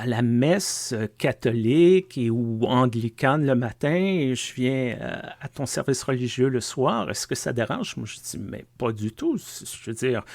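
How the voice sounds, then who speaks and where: A man, a pastor co-hosting a podcast, talking in a thoughtful, measured way from his home basement. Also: mid-range voice, dry recording. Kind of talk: casual conversation.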